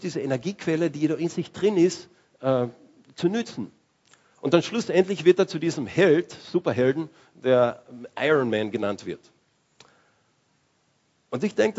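Husky-voiced man preaching a sermon. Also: fairly high pitch, darkish tone, dull audio. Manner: conversational, with restarts and searching for words.

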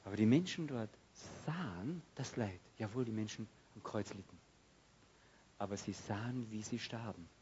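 A man preaching a sermon, swinging from loud outbursts to hushed, quiet passages and acting out prayers. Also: fairly low pitch, normal speed, highs cut off, a little hiss or hum.

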